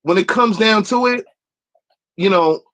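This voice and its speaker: A man's voice: high-pitched, unhurried, a bit bright, low-quality recording. A man from New York with a New York accent, hosting a podcast in slangy, laid-back chat. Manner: slangy, laid-back, laughs between phrases. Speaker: podcast host.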